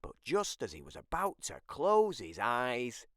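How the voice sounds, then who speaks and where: A storybook reader, giving animated scripted narration for children. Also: medium pitch, unhurried, no echo, clean studio sound.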